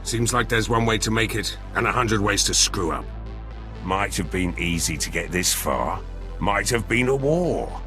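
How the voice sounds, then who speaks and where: Low-voiced wry military officer. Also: male voice, lively intonation, measured pace, sharp tone, faint music behind. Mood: wry, cocky. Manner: clipped, wry, matter-of-fact. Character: cynical, opportunistic male Imperial military officer. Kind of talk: video game acting.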